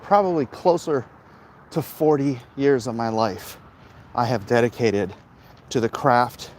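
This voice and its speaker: A middle-aged man in an unhurried, halting walk-and-talk, speaking at a medium pitch. He is recorded outdoors, with faint ambient and vehicle noise behind him. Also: a little mellow. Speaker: comic book creator vlogging. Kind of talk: casual walk-and-talk monologue. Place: outdoors, walking along a hilly route.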